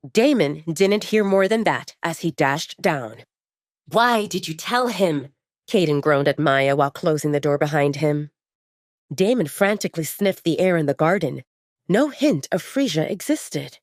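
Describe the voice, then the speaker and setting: A woman's voice: on the low side, darkish tone, dry recording, studio quality. A woman reading a dramatic fiction story aloud as a scripted audiobook narration.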